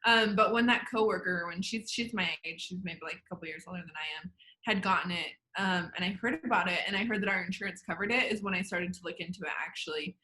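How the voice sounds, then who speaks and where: A woman's voice: lowish voice, fast talker, bright, forceful tone, poor audio. A woman, a podcast guest chatting casually and rambling, with lots of "like". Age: 20s. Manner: rambling, heavy use of "like". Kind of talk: casual chat.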